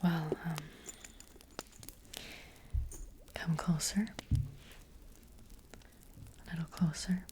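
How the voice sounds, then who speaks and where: Slow whispering witch played by a woman. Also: lowish voice, steady tone. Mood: hushed, hesitant. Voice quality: breathy whisper. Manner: slow, hushed, hesitant, unhurried. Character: gentle, hesitant witch fortune teller, female. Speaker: ASMR roleplay performer. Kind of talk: soft-spoken whispered ASMR roleplay. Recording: close-up with faint background noise.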